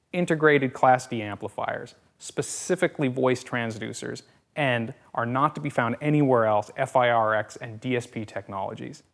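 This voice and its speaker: A man's voice: mid-range voice, gravelly. A man giving a polished, scripted product narration as a presenter, with a salesy delivery and punchy quips.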